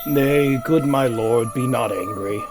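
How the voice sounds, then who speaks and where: A man's voice: mid-range voice, takes it slowly, music in the background, natural voice. A man playing a sly, smugly mocking nobleman in a Shakespeare audio drama, recorded remotely. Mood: slyly soothing.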